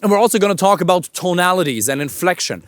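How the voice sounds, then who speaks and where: A man with a naturally high voice coaches other men while he walks outdoors. His pitch swings widely as he leans on words for emphasis, and now and then he swears casually. The sound is close and dry. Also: expressive, quick pace, dry recording. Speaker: dating coach. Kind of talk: walking talk to camera, coaching. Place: outdoors, walking with a camera operator.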